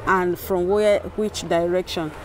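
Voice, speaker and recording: A middle-aged woman with a Nigerian accent explains research as a linguist, haltingly, often repeating and rephrasing her words. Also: on the low side, fairly even intonation, slight background noise. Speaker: academic linguist. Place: outdoors in a yard with sculptures. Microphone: clip-on lapel microphone.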